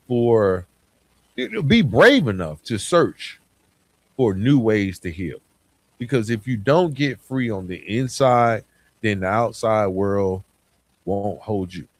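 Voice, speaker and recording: A man hosting a solo podcast in a reflective, conversational and earnest motivational way, repeating phrases for emphasis, close to the microphone. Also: sits low. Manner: conversational, frequent rhetorical questions and repetition for emphasis. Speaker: podcast host.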